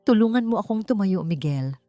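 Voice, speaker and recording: A woman's voice: mid-range voice, animated, brisk, dark tone, faint music behind, voice pushed up, natural voice. A woman voicing a commanding, determined and protective wealthy man in an audiobook, speaking tersely. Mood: defiant. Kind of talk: audiobook character acting.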